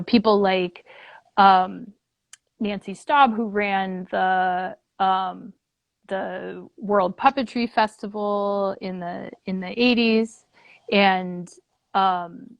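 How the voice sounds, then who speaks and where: A woman's voice: medium pitch, no room sound, highs cut off. A woman speaking casually as an interview guest, earnest, thinking aloud in long, looping phrases. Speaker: guest, puppet theater leader.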